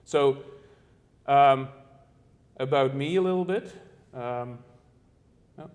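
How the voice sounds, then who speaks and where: A middle-aged man who grew up in the Netherlands, giving an architecture presentation in a halting way, with frequent fillers and restarts. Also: mid-range voice, darkish tone. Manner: halting, many fillers and restarts, searching for words. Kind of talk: presentation to an audience.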